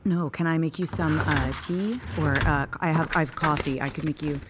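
An actress as a flustered, guarded woman in an audio drama, her voice on the low side. She is blunt but halting, stumbling into apologies, with faint noise behind her. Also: fairly even intonation, close to an everyday voice. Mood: flustered, awkward. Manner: halting, stammering, awkwardly apologetic. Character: flustered, guarded, blunt female employee named Phil. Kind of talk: naturalistic audio drama acting.

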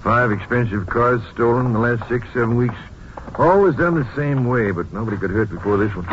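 An actor playing a folksy, dutiful male highway patrol captain, informal and plain-spoken, in a radio drama. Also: fairly quick, lightly acted. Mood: concerned, matter-of-fact. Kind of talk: 1950s western radio drama acting. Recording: muffled, hissy 1950s radio recording.

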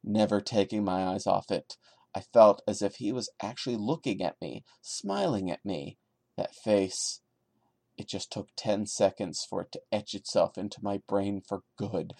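A man's voice: on the low side, steady tone, moderate pace, gravelly, darkish tone. Man doing sarcastic dramatic horror reading. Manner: sarcastic, stammering asides between solemn narrated passages. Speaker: podcast host reading a horror story. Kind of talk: dramatic reading with exasperated comic commentary.

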